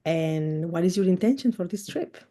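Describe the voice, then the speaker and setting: A woman from Mexico leading a group video call, speaking slowly and earnestly in spiritual terms. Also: fairly low pitch, animated, mellow. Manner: slow, earnest, spiritual phrasing. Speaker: expedition guide.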